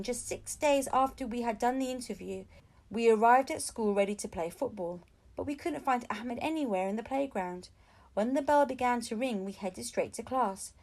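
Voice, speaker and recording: Woman, higher voice, expressive storybook narration, audiobook reader for children. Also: normal speed. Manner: expressive storytelling with acted-out dialogue. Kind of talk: scripted storybook narration read aloud for children.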